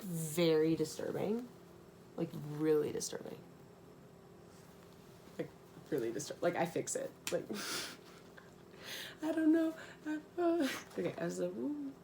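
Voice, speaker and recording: Young woman reading kids rhymes kinda slow, goofy casual asides, slangy, bit of noise behind her. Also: medium pitch, darkish tone. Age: young adult. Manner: informal, giggly asides, slangy. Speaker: storyteller reading an original children's book. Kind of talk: rhyming storybook read-aloud with casual joking asides.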